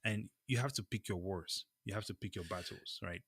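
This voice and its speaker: A man's voice: deep voice, moderate pace, fairly bright tone, slightly rough, no echo, clean studio sound. A man from Cameroon co-hosting a show, talking casually and emphatically, often checking in as he makes his point. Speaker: co-host of a crypto show. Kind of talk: casual opinionated discussion.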